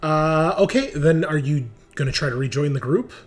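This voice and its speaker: A man's voice: a little high, moderate pace. A man running a tabletop game as game master, casual and easygoing, thinking aloud as he narrates and makes rulings.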